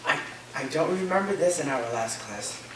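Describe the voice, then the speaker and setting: Young male student, read by a stage actor: high voice, heavily echoing room, distant from the mic. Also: animated, moderate pace, lightly acted. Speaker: actor in a staged play reading. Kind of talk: theatrical staged reading. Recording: very echoey, distant, noisy room.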